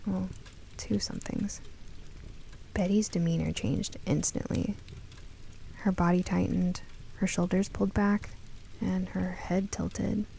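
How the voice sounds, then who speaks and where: An earnest, inquisitive young heroine acted by a woman tells her own story in a cozy, spooky radio play. Her voice is mellow and dark, with heavy creak at the edges. She speaks in measured phrases over a faint bed of background noise. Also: mid-range voice, low-quality recording. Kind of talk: first-person scripted radio drama narration.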